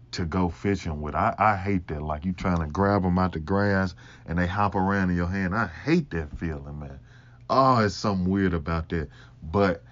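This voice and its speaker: A young man with a Mississippi accent, hosting a casual solo Q&A, talking slowly in a laid-back, mumbling way. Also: muffled recording. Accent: Mississippi Southern US. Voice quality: deep voice. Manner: slow, laid-back, mumbling, laughs often. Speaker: podcast host.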